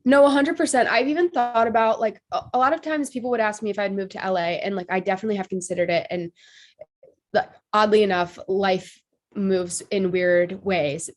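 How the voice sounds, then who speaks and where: A woman's voice: medium pitch, fairly even intonation, a little fast. A woman in her twenties from Ohio, a podcast guest, slightly nasal from allergies, talking in an upbeat, bubbly way. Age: 20s. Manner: upbeat, bubbly, frequent "like" fillers, laughs easily. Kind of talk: casual interview chat.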